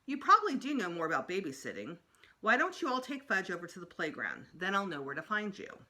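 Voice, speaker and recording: A woman's voice: mid-range voice, huge pitch swings, a little fast, bright, forceful tone. A woman voicing a doting, anxious mother in a storybook, coaxing and fussing. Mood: instructing.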